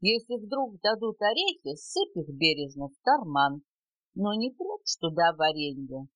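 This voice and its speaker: Older woman reciting children's verse, very expressive. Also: on the low side, unhurried, no room sound. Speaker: grandmother reading for her grandchild. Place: at home, recorded to cassette. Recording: dull home cassette recording.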